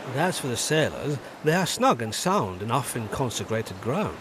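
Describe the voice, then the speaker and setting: Male actor as reserved squire's son, guarded, formal, mid pitch, expressive intonation, radio play. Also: moderate pace, noisy background, natural voice. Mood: wary, disapproving. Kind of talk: audio drama acting.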